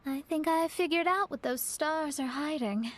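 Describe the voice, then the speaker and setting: A woman playing a proud, thoughtful young woman in a video game, speaking in deliberate, formal phrases. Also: high-pitched, lightly acted. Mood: wistful, resolute. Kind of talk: video game cutscene acting.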